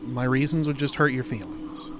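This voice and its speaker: A man playing a reluctant, weary man fending off an unwanted caller in a horror audio drama, halting and curt. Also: medium pitch, noisy background, lightly acted. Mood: exasperated, firm.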